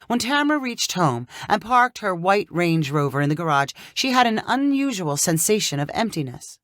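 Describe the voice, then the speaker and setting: Female narrator for scripted short stories: animated intonation, a touch low, no room sound. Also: normal speed, no echo, studio quality. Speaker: story narrator.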